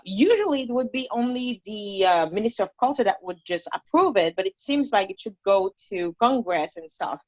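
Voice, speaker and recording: A woman's voice: mid-range voice, no echo, poor audio. Haitian-accented woman telling stories. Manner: animated storyteller, many fillers and restarts. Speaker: guest. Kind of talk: conversational interview.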